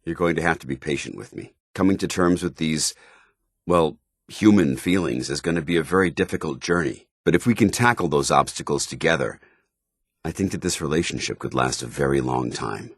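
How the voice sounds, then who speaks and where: An actor playing a stern, duty-bound military commander in a video game, speaking formally with clipped authority. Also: male voice, extremely low pitch, expressive, a little fast, husky, no echo, clean studio sound, exaggerated voice. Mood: earnest, hesitant. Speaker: commanding officer. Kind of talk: scripted video game dialogue.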